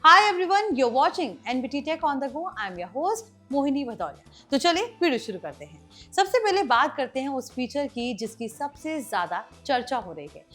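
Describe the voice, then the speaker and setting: A woman's voice: high-pitched, big pitch swings, measured pace, few pauses, fairly bright tone. A woman with an Indian accent hosting tech news, explaining scripted items in a presenter's manner. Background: background music.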